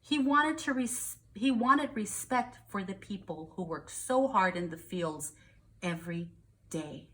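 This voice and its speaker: A woman's voice: medium pitch, unhurried, a bit bright. American woman teacher reading to young children. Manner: simple child-directed explaining, checks in with questions. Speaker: first grade teacher. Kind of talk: read-aloud with explanations to young children.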